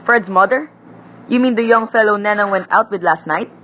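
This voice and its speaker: A woman's voice: medium pitch, brisk, dull audio, natural voice. A woman playing a stern, middle-aged, cigar-smoking father in an amateur radio play. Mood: indignant. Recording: faint background noise.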